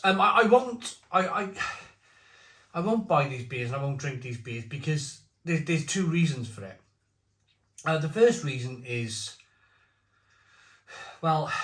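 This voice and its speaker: A man's voice: a little high, a bit bright. A man in his forties giving a casual, opinionated beer review, talking bluntly in rambling asides. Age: 40s. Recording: roomy echo.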